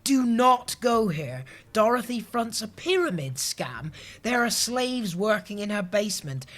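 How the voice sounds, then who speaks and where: A woman's voice: medium pitch, sing-song, measured pace. A woman playing an earnest, disapproving, rule-abiding office colleague in an audio sitcom, prim and wordy. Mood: indignant.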